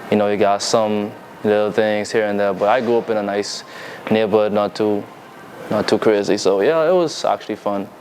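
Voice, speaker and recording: A man's voice: fairly low pitch, moderate pace, a little mellow, rough recording. Young bahamian guy chatting loose and easy, lots of likes and restarts, bit of hiss behind. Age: young adult. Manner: laid-back, loose, lots of fillers and restarts. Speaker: musician guest. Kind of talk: casual interview chat.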